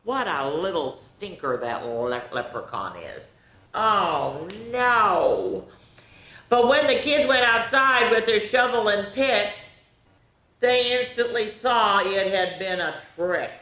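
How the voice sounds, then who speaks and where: Echoey, slow-paced woman reading to children. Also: mid-range voice, animated, sharp tone. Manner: playful, chatty asides to young listeners. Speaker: children's storytime host. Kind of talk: animated read-aloud of picture books for young children. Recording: echoey, roomy sound.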